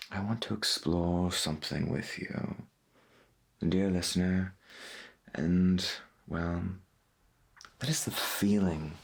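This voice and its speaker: Man playing a deep breathy late-night radio host. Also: fairly bright tone, full character voice. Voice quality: breathy, gravelly. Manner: musing, florid, halting with long pauses. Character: veteran male late-night paranormal radio host, calm and wonderstruck. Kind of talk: soft-spoken ASMR roleplay of 1990s AM radio.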